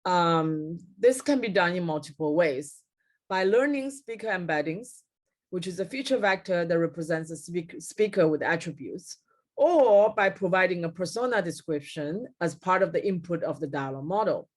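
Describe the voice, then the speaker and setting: A woman's voice: lowish voice, expressive, moderate pace. Middle-aged woman lecturing with many fillers. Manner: frequent fillers and self-corrections. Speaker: keynote speaker. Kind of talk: technical lecture over slides. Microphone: webcam at desk, video call.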